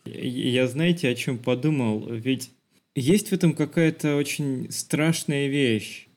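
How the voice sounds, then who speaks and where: A man's voice: medium pitch, darkish tone. A man co-hosting a podcast, discussing film in a thoughtful, explanatory conversational way. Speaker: podcast co-host.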